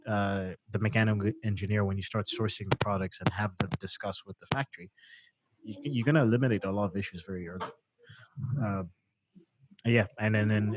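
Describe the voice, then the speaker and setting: A man's voice: on the low side, noisy background. A man hosting a live-stream chat about manufacturing. He talks loosely and uses plenty of fillers. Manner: relaxed, reads out viewer comments, many fillers. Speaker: host of a sourcing show.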